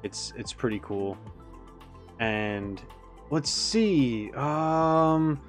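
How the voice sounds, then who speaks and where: A man giving a casual, animated reaction commentary, thinking aloud as he analyzes like a game fan. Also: mid-range voice, very slow, faint music behind.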